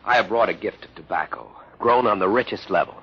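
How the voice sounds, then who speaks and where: An actor playing an earnest young scientist cadet, formal and deferential, in an old-time radio drama. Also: male voice, fairly low pitch, brisk, gravelly, music in the background. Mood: formal, respectful. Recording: muffled, hissy old radio recording.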